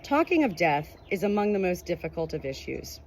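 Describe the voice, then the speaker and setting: A woman's voice: lowish voice, very animated, brisk, slight background noise. A woman reading a nonfiction book aloud as scripted audiobook narration. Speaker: audiobook reader.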